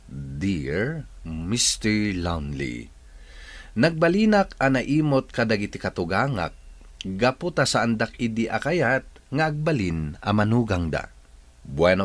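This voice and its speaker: A low male radio host with an Ilocano accent introduces a drama in a scripted, theatrical style. His delivery is unhurried, with wide melodic swings.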